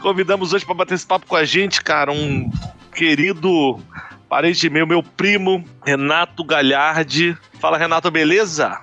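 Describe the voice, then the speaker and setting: A man's voice: fairly high pitch, moderate pace, bright, forceful tone, dull audio, soft background music. A man hosting a casual podcast, jokey and teasing, laughing often. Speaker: podcast host.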